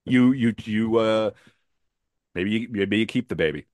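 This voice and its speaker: A man's voice: lowish voice, a little slow, darkish tone, dry recording. A man chatting casually on a podcast, emphatic and given to repeating his phrases for effect.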